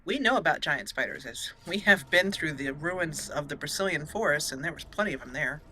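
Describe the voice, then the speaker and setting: A woman with a bright, forward voice chats casually through a video game. She is playful and exclamatory, addressing the characters as she goes, with faint game noise behind her. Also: low-pitched, expressive, brisk, poor audio. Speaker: gaming channel host. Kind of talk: casual let's play commentary.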